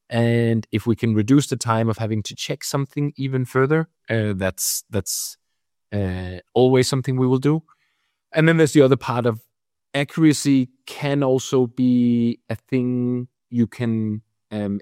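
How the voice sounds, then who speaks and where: A man's voice: mid-range voice, moderate pace, darkish tone, no room sound, clean studio sound. A man with a Danish accent giving explanatory interview answers about his company, thinking aloud as he goes. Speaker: company representative.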